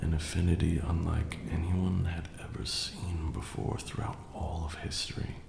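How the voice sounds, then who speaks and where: A man playing an ancient vampire lord, proud, wistful and tender, telling his tale in a hushed, formal, measured way as an intimate ASMR roleplay. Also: sits low, flat delivery, creaky, roomy sound, noisy background. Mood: tender, admiring.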